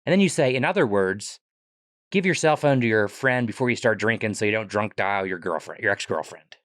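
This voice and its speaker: A man with a Pittsburgh accent, a guest on a podcast, explaining ideas quickly and animatedly and swearing casually. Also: fairly low pitch, expressive, fairly bright tone, dry recording, clean studio sound. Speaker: guest, economics professor and comedian.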